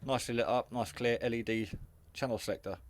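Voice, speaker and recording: A man's voice: medium pitch, steady tone, fast, dry recording. A man giving a casual, hands-on product demonstration in a hesitant, rambling, matter-of-fact way. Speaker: hobbyist radio reviewer. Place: garage.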